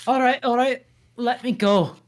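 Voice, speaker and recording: A woman reading a big, gruff male ogre in a live table read, shouting and pleading. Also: on the high side, fairly even intonation, unhurried, lightly acted. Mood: desperate.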